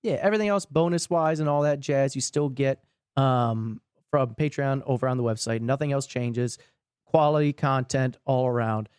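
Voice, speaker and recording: A man's voice: mid-range voice, fairly even intonation, moderate pace, a little mellow, clear, resonant tone, no echo, clean studio sound. A man co-hosting a podcast in a casual, comedic way, playful and given to swearing and mock pleading. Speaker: podcast co-host.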